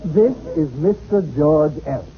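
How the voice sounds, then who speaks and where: Genial high-pitched father. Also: lively intonation, slow, crowd noise. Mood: indulgent. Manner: chatty, indulgent. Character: genial, whimsical middle-aged father of ten. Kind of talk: 1940s radio comedy acting. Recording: muffled, noisy old radio recording.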